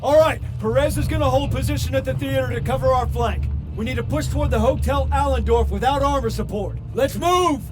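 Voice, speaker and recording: An actor playing a gruff, commanding American army sergeant who barks orders in a war game. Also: male voice, extremely high pitch, fairly quick, over music. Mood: firm, commanding. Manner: barking, forceful orders. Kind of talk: cinematic war game acting.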